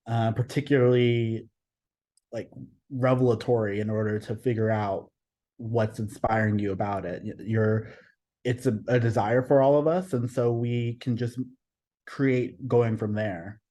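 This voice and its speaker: A man's voice: mid-range voice, fairly even intonation, a little slow, warm tone. Thoughtful man from Texas. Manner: thoughtful, searching for words, frequent restarts. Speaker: podcast guest, artist. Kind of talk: casual podcast conversation.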